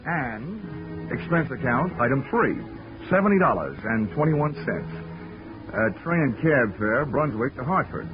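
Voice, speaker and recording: A man's voice: medium pitch, sing-song, a little slow. A man playing a wry, hard-boiled private investigator in sardonic 1940s radio style. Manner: sardonic, wisecracking. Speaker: narrating lead of a detective radio serial. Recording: tinny, band-limited 1940s radio recording with music.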